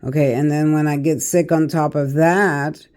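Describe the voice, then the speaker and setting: An older woman with a naturally raspy, hoarse voice, a tarot reader giving a casual, preachy monologue to camera and often checking in with "okay" and "all right.". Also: sits low, steady tone, normal speed, darkish tone.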